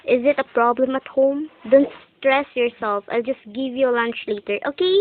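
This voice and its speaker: A woman voicing a caring, cheerful young schoolmate in an amateur animated cartoon. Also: high-pitched, normal speed, lightly acted. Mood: friendly, caring. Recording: dull lo-fi microphone sound.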